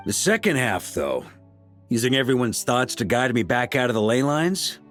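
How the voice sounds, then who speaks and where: A good-humored grandmaster knight from a video game, mid-pitched and lively in his intonation. He sounds easygoing and wry, with soft music playing faintly behind him. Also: moderate pace. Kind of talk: game voice acting.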